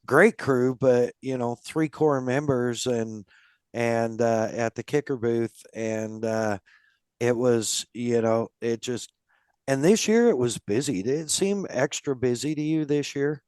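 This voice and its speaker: Rambling male podcast host, smooth dry voice. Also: mid-range voice, a little slow, darkish tone, no room sound. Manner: rambling, many fillers and restarts. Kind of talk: casual interview chat.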